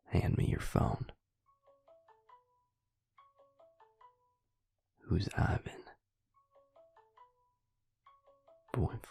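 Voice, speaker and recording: A man playing a devious, smug vampire recruiter in an intimate audio roleplay, speaking in a husky, breathy half-whisper with a slow, sinister drawl. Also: low voice, a little mellow, dry recording, exaggerated voice. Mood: probing, scheming. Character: devious male vampire recruiter, smug, coolly menacing, manipulative. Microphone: close, dry microphone.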